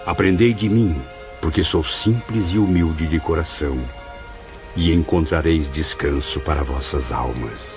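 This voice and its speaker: A penitent male spirit in an audio drama, his voice very low and grave. He speaks in measured, literary phrases, lucid and reflective. The sound is dull and narrow, with music clearly underneath. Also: exaggerated voice. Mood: solemn, remorseful. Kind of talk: audio drama acting and first-person narration.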